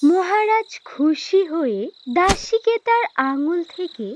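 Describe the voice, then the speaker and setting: A woman narrating a scripted fairy tale in an expressive storytelling manner. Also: extremely high pitch, slow, over music, low-quality recording.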